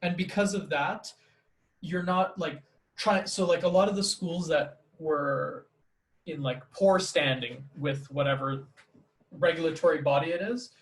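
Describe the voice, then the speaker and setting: A man's voice: a little high, unhurried. Chatty man in an echoey room. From Canada. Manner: rambling, many fillers like "like". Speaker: podcast host, teacher. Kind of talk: casual conversation.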